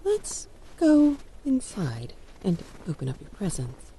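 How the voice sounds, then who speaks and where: A woman's voice: mid-range voice, huge pitch swings, slow, warm tone, breathy edge, heavily acted, rough recording. A woman playing a worried, protective mother in an audio storybook, with an anxious, cautioning manner. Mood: warning. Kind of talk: audio storybook character acting.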